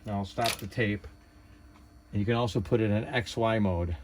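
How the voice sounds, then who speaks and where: A man's voice: fairly low pitch, normal speed, a little mellow, slight background noise. A man giving a casual hands-on tutorial, thinking aloud in an unpolished, hesitant way. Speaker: hobbyist demonstrator.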